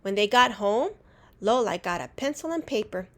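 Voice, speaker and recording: A woman reading a children's storybook aloud in an expressive, deliberate teacher's way. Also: medium pitch. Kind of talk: animated storybook read-aloud.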